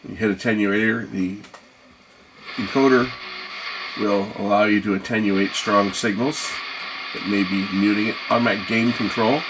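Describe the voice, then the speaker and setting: A man's voice: fairly low pitch, fairly even intonation, normal speed, music in the background. A man giving a casual hands-on gadget demonstration, musing aloud as he tries things out. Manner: musing aloud while trying controls. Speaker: product reviewer. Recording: noisy, radio static and ambience. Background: radio noise.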